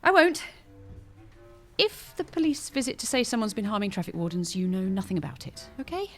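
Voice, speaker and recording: A woman's voice: mid-range voice, very animated, a little fast, faint music behind. An actress with a British accent playing a chatty, newly patient visitor who dotes on her pet, in an audio comedy. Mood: cheerful, chatty.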